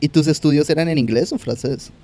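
Host, young man, slightly high voice, chatty interviewer with quick follow-ups. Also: mellow. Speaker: podcast host. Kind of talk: casual interview.

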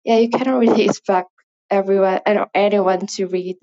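A woman's voice: mid-range voice, fairly even intonation, brisk, warm tone, muffled recording. A woman from Indonesia with an Indonesian accent, English not her first language, leaving a rambling, hesitant voice message full of fillers. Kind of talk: casual spoken voice message.

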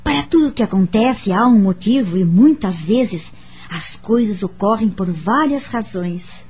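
A woman's voice: mid-range voice, animated, measured pace, slightly rough. An actress playing a kind, wise Brazilian woman who earnestly counsels a friend in a radio drama. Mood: earnest, consoling. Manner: earnest, gently instructive. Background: background music.

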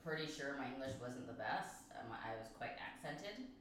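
A woman's voice: rumbling low, animated, normal speed, a bit bright, slight background noise. Give me a laughing woman heard far off in an echoey room. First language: Vietnamese. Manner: laughs through her stories. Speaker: podcast guest. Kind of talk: casual chat.

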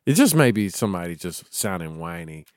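A man co-hosts a casual studio podcast, joking and telling stories. His pitch swings around a lot as he acts out the voices. The recording is dry and close. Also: sing-song, fairly quick, no room sound. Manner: jokey, storytelling, acting out voices. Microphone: studio microphone.

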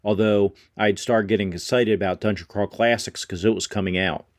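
Lower-voiced male host on a dry mic. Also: steady tone, brisk, no room sound. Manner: conversational, thinks aloud with small self-corrections. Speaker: podcast host. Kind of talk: solo podcast talk.

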